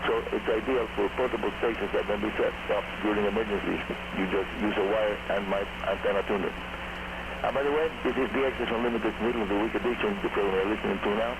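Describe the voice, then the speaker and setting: A man from Cuba hosting a scripted technical radio show, heard through a shortwave receiver. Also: on the low side. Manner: technical, reads at a quick steady clip. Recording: noisy shortwave radio reception.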